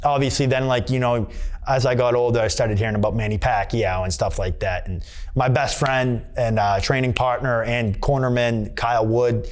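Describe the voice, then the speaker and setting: A male boxer, talking at a middling pitch. He rambles through his answers, backing up and restarting as he leans on fillers. It is a candid sit-down interview. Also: steady tone, normal speed. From Massachusetts. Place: boxing gym.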